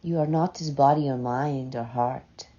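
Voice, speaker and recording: Very slow, low-voiced woman guiding meditation. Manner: gentle, unhurried, with long pauses between short phrases. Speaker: guided meditation leader. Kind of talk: slow, soothing guided meditation. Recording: dull, muffled lo-fi sound.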